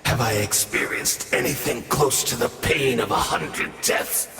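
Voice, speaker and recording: A man playing a weary, wry, taunting old immortal swordmaster in a video game, gravelly and theatrical. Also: medium pitch, a little slow, pushed and bright, a little hiss or hum. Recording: echoey hall, game music behind.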